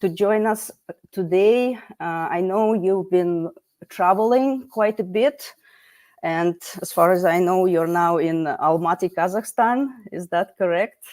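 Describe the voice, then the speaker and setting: A woman with a somewhat low voice hosts an online interview. She talks in a chatty, friendly way and often restarts her sentences with fillers. She is heard over a video call. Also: measured pace, darkish tone. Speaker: host.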